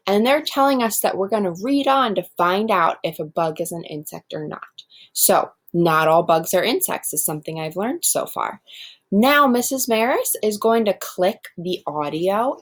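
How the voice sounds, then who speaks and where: A woman teaching first graders in a read-aloud lesson, explaining step by step and speaking directly to her pupils. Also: mid-range voice.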